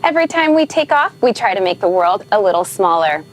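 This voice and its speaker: A woman's voice: mid-range voice, sing-song, brisk, faint music behind. A woman presenting an airline safety video with a scripted welcome.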